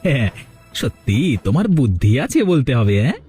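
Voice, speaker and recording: A man's voice: medium pitch, wildly swooping pitch, a little fast, warm tone, soft background music. A man voicing a scheming, brazen young village man in a melodramatic cartoon, insolent and theatrical. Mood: gloating.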